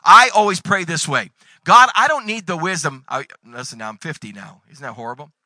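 A man in his forties preaching a sermon, reading scripture and breaking into sudden loud bursts. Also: fairly high pitch, lively intonation, fairly quick, no room sound. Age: 40s. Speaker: pastor.

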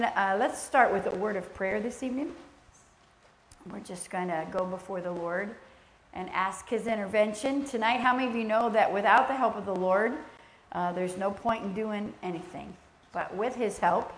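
A soft-spoken woman teaches a church session in a gentle, conversational way, pausing on fillers as she goes. Her voice sits at an ordinary middle pitch. The sanctuary makes it ring with echo over a faint hum of room noise. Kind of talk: sermon teaching with prayer.

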